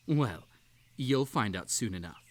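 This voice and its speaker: An unhinged bunny tea-party host, acted by a man, speaks at an unhurried pace in a medium-pitched voice. The delivery is coaxing and overly polite, with a possessive, unstable edge suited to a sinister roleplay audio drama. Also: animated, lightly acted. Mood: coy, coaxing. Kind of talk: scripted roleplay audio drama addressed to the listener.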